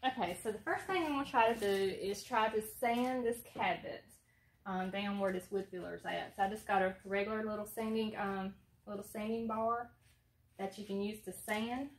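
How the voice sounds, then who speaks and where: Soft-spoken Southern woman, hesitant. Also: fairly even intonation, moderate pace, poor audio. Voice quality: soft-spoken, talks low. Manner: hesitant, many ums, plain. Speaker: homestead vlogger. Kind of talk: casual how-to vlog. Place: at home, alone with a phone camera.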